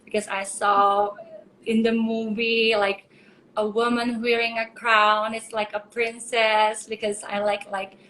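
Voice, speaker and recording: Bubbly young Indonesian woman, high voice. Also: level tone, unhurried, fairly bright tone, slight background noise, low-quality recording. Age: 20s. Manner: bubbly, exclaiming, laughs often. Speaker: host of a live chat. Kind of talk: casual live video chat.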